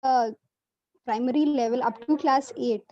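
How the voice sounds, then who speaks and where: A woman's voice: sits high, steady tone, moderate pace, dark tone. A young woman student, politely questioning her teacher from across the classroom. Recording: dull lo-fi sound from off mic.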